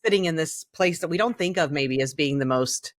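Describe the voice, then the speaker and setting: A woman's voice: deep voice, a little fast, no room sound. Blunt woman podcaster, close dry sound. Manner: opinionated, blunt, mildly sweary. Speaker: podcast co-host. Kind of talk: casual candid chat.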